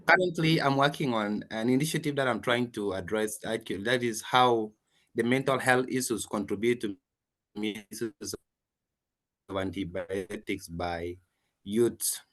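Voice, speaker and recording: A man's voice: lowish voice, measured pace, fairly bright tone. A young man with a South Sudanese accent, earnestly putting a prepared question in an online session. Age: young adult. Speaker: audience questioner. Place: online video call.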